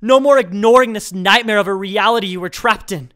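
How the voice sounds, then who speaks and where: An actor playing a traumatized, bitter survivor of a hostage ordeal, narrating intensely in the first person in an audio drama. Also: male voice, high-pitched, brisk, a bit bright. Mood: haunted, intense.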